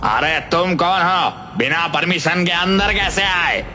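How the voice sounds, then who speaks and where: A man's voice: high-pitched, unhurried, bright, forceful tone, exaggerated voice. A man playing an arrogant cartoon factory manager, curt, scolding and dismissive. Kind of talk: animated cartoon character acting. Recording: music bed under the voice.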